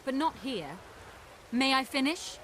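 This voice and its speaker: An actress playing a shrewd, imperious circus performer in a video game, speaking in a crisp, theatrical way. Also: female voice, high voice, sing-song, unhurried, a bit bright, slight background noise, full character voice, rough recording. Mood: coolly bargaining. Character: shrewd, commanding female circus performer and troupe leader. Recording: music behind the voice. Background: music.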